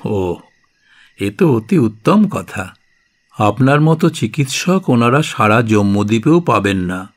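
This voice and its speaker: Slow-spoken, inquisitive monk. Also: male voice, lowish voice, big pitch swings. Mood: curious, respectful. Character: concerned Buddhist monk questioning a revered healer. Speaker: actor in an audio story. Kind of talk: dramatic radio play dialogue in period setting.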